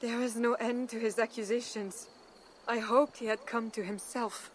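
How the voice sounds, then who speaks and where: A woman's voice: on the high side, steady tone, normal speed, a little hiss or hum, lightly acted, rough recording. An actress playing a devout, steadfast queen in a video game, speaking with earnest, composed formality. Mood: earnest, regretful. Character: devout, dignified queen, steadfast and protective mother. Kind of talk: dramatic video game acting.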